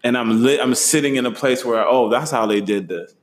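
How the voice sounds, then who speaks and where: A young man hosting a casual travel chat, relaxed and slangy, laughing easily. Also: mid-range voice, brisk. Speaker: host.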